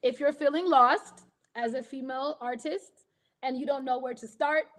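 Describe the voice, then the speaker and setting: A woman with an American accent and a high voice tells her career story in a casual interview. She is animated, laughs easily and acts out other people's voices as she goes. She sounds like a woman in her thirties. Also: normal speed. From Kenya. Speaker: guest artist.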